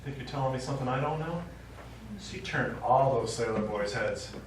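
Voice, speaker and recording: An actor playing an elderly, stubborn widower and war veteran, reminiscing in a wry, rambling way in a stage play. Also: male voice, medium pitch, normal speed, natural voice. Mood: nostalgic, wry. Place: small theatre stage, recorded from the audience. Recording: echoey small theatre, distant mic, faint noise.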